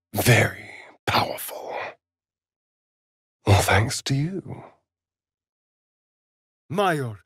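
Arch vampire rogue, wildly swooping. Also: male voice, on the high side, slightly rough, no echo, clean studio sound, heavily acted. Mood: smug, mocking. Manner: theatrical, arch, drawling. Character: arch, theatrical male vampire rogue, flirtatious and sardonic. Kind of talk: video game character dialogue.

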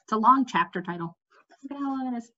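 A young woman reads a fantasy storybook aloud. Her voice is fairly high and bright, with very lively swings in pitch. The sound is slightly dull, like a webcam recording at home. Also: fast talker. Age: young adult. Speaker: amateur book reader. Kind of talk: expressive read-aloud storytelling of a children's fantasy novel. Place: bright room at home, indoors.

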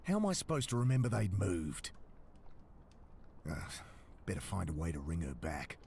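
Deep-voiced, weary brooding drifter. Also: male voice, sing-song, normal speed, vocal fry, slight background noise, heavily acted. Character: weary, shaken drifter man, brooding narrator. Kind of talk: pulp thriller game narration and dialogue. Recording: dark synth music behind. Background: brooding synth score.

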